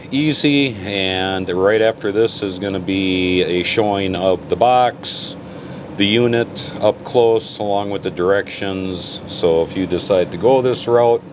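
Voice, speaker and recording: A man's voice: sits low, a little hiss or hum. A man giving an informal how-to walkthrough as a video host, with a folksy, plainspoken manner. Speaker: host of a car audio tutorial channel.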